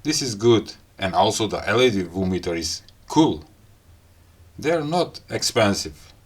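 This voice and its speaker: A man with a non-native English accent giving a casual hands-on gadget demo in short, halting phrases. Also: lowish voice, lively intonation, breathy edge. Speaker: gadget review host. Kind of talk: casual hands-on unboxing and product demo talk.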